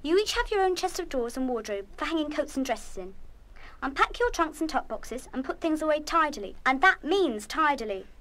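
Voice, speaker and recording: Bossy older schoolgirl, officious, high voice that swoops around, kids radio play. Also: big pitch swings, brisk, a bit bright. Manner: firm, officious. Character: bossy older schoolgirl, no-nonsense dormitory prefect. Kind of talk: full-cast children's radio play acting.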